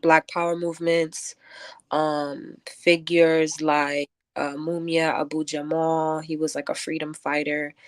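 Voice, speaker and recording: A woman answering radio interview questions as a guest poet, thoughtful and halting, often filling with "like". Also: low-pitched, no echo. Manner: thoughtful, halting, many filler likes. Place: close-miked radio show.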